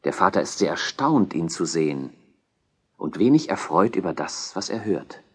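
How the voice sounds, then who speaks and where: A man narrates scripted history in a fairly low, husky voice. His delivery moves through a very wide range of pitch. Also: sing-song, normal speed.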